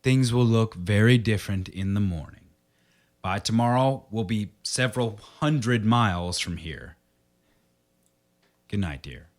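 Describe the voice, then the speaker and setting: A low-pitched, uneasy husband, played by a man in a radio horror play. He sounds like someone confiding in a diary and brushing off fears he half believes. The delivery stays natural and conversational. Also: animated, measured pace, pauses often. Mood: reassuring, uneasy. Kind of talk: radio horror drama acting with diary narration.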